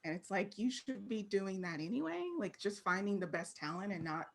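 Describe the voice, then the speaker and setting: A woman's voice: mid-range voice, expressive, moderate pace, creaky. A woman from Texas with an American accent, talking candidly and informally as an interview guest. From Fort Worth, Texas, United States. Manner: candid, informal, frequently laughing. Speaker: interview guest, creative professional. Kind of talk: casual interview conversation.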